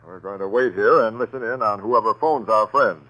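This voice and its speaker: Sharp-voiced commanding police captain. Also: male voice, lowish voice, fairly quick, highs cut off. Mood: confident. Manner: clipped, authoritative orders. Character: commanding, methodical police captain, calm and in charge. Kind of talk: 1940s radio crime drama acting. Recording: noisy 1940s radio broadcast recording.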